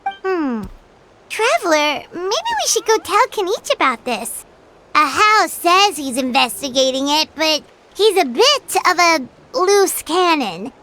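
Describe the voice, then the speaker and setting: A tiny, chatty game sidekick creature with a childlike, wary manner. The voice is extremely high and lilts up and down, with noticeable noise behind it. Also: female voice, very animated, slow, bright, forceful tone, full character voice. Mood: suspicious. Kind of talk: video game voice acting.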